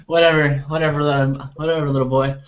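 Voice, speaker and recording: Higher-pitched young man hosting, slightly muffled. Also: flat delivery, fast talker. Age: 20s. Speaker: podcast host. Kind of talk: casual group recap chat. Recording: muffled video call audio.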